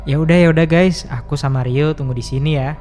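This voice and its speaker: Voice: medium pitch, a little fast, a little mellow, faint music behind, close to an everyday voice. Seorang pengisi suara memerankan pemimpin rombongan pendaki yang memberi arahan dan menenangkan teman-temannya. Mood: calm. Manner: reassuring, instructive. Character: calm hiking group leader. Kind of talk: animated horror story voice acting.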